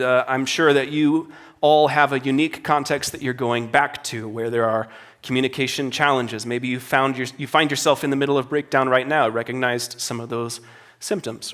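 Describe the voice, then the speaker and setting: A man with a slightly raspy voice speaks at a conference in a hall full of people. He talks to worship leaders in an earnest, conversational way, and he repeats his phrases for emphasis. His pitch sits in a plain middle range. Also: fairly bright tone. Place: conference hall before a live audience.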